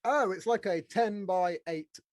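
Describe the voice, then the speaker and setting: A man hosting a podcast as a casual, blokey, excitable chat with plenty of swearing. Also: high-pitched, takes it slowly, no room sound, studio quality. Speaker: podcast host. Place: small studio room.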